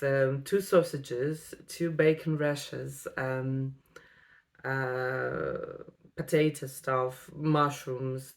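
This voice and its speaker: A woman, an English speaker, telling a personal story to camera with many ums and pauses. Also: very deep voice. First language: Russian. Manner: unhurried, many ums and pauses, non-native phrasing. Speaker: vlogger.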